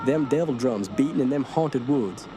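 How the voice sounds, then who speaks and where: Actor as terrified Southern swamp squatter. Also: male voice, medium pitch, animated, moderate pace, background noise, lightly acted. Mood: terrified, pleading. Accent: rural American South. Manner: pleading, folksy dialect. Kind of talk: radio drama acting. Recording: music under the voice.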